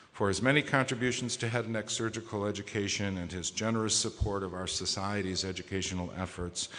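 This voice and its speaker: A very low-pitched man addresses a large conference hall from a podium microphone, his voice carrying a long reverberant tail. He speaks in a formal, measured way, often pausing on hesitation fillers as he presents awards. The sound is that of an echoey hall. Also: a bit bright. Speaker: society president presenting awards. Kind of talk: formal award ceremony speech.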